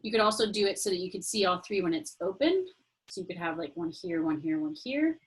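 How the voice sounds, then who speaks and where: A woman's voice: lowish voice, lively intonation, a little fast, slightly rough, poor audio. A woman teaching a casual online craft class over a video call, explaining steps in a chatty way. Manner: chatty, friendly explaining with fillers.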